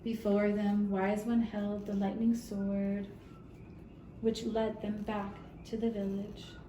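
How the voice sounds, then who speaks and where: A woman telling a scripted story for young children in measured, deliberate phrases with long pauses, recorded in a roomy space away from the mic. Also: medium pitch, flat delivery, dark tone. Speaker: storyteller for a kindergarten puppet show. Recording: roomy, distant mic with faint noise.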